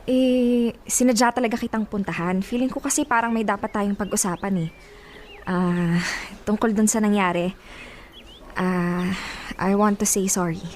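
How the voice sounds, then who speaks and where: A woman's voice: medium pitch, fairly even intonation, normal speed, a little hiss or hum, natural voice. An actress playing a friendly, insistent, playful young woman in a radio drama, breezy and casual, with a Filipino accent. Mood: sheepish.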